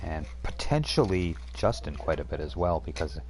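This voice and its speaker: A man's voice: extremely low pitch, sing-song, normal speed. A man hosting a live-streamed tabletop game night, chatting casually and laughing easily. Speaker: game master and stream host.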